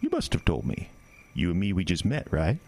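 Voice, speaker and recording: A man's voice: low-pitched, huge pitch swings, brisk, vocal fry, darkish tone, husky, exaggerated voice. An actor playing a sly, menacing stranger who corners a boy in the woods at night, with teasing, old-fashioned phrasing. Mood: sly menace. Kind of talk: horror audio drama.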